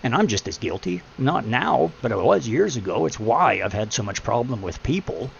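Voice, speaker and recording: Gravelly deep-voiced middle-aged man. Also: sing-song, moderate pace. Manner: blunt, preachy, swears freely. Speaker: podcast host. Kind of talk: casual advice chat. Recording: muffled lo-fi with faint hum.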